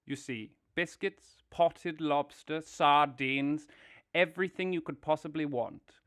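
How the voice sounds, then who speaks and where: A man voicing a boastful, excitable male toad who rattles off lists like a salesman in a fan audio drama. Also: a little high, slow, clear, resonant tone.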